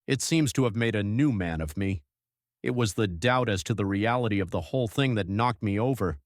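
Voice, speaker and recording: A man narrating an audiobook as an earnest young husband reading out his journal in a measured, literary way. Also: lowish voice, dry recording, studio quality, close to an everyday voice. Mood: reflective, resolute.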